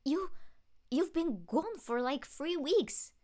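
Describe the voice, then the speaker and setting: A woman voicing a caring, anxious teenage girl superhero in an audiobook, expressive and earnest. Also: sits high, slow pace, pitched above the performer's own voice. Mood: incredulous.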